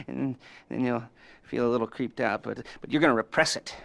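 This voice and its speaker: An actor playing a sly middle-aged heist planner in a video game, rambling, giggling and teasing with creepy innuendo. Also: male voice, mid-range voice, vocal fry, a bit bright. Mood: leering. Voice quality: giggling, rambling with many pauses. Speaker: heist mission giver. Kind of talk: video game cutscene dialogue.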